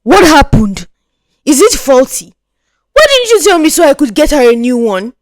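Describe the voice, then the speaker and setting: A woman's voice: high voice, sing-song, moderate pace, dry recording, pitched above the performer's own voice. Stern rich dad in a folktale done by a lady narrator, bossy, scolding, older man with a short fuse, dry sound. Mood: concerned, demanding. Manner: commanding, scolding. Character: stern, domineering wealthy father, older man, quick to rage.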